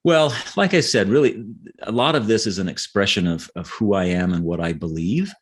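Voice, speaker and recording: Low-voiced man, earnest and explanatory. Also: animated, moderate pace. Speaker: author being interviewed. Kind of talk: conversational podcast interview.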